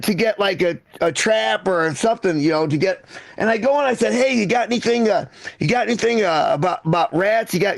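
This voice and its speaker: A man's voice: sits high, creaky, dry recording. A man from Michigan performing stand-up comedy in a conversational, profane way, often repeating and restarting phrases. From Michigan, United States.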